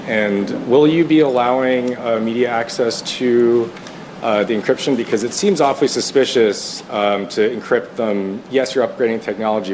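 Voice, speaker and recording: A man's voice: medium pitch, poor audio. A man working as a freelance news photographer, asking challenging, skeptical questions at a press conference over faint room noise.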